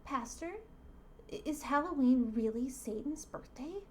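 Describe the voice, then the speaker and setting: A woman's voice: high-pitched, measured pace, pitched above the performer's own voice. A woman voicing an earnest, timid little boy from a comic she is reading aloud. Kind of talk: acting out comic panels. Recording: noticeable background noise.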